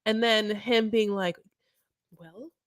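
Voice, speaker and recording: A woman's voice: fairly low pitch, expressive, normal speed, no echo. Chatty, campy woman, dry close mic. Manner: chatty, campy, rambling asides. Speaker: show recap host. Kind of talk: casual live recap chat.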